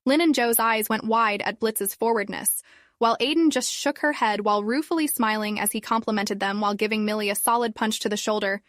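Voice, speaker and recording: Woman, story reader, even storytelling, dead-dry room, mid voice. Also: medium pitch, brisk, dry recording. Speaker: podfic reader. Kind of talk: fanfiction audiobook narration.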